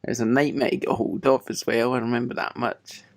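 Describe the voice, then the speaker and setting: A man hosting a podcast with a cold that makes his voice croaky, chatting casually and laughing at his own slips. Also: mid-range voice, level tone, a little fast, no echo, clean studio sound. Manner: chatty, self-mocking, laughs often. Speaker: podcast host. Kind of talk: casual chat.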